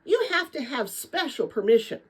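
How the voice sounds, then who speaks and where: Storybook role: a woman playing a brisk, bossy young female guide, high and animated, matter-of-fact and commanding. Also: husky, exaggerated voice. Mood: loud, brisk. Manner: loud, matter-of-fact, instructing.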